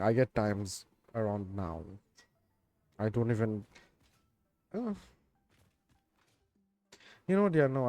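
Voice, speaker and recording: A man streaming a game, chatting in a laid-back, rambling way with lots of fillers, his voice a bit raspy. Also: lowish voice, dark tone, dry recording. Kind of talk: casual game stream chat.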